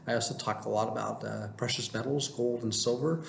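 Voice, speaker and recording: A man's voice: medium pitch, fairly even intonation, fairly quick, creaky. Echoey-room man giving casual vlog advice. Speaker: vlogger giving work advice. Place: indoor room with plain wall, working from home. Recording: echoey room with faint background noise.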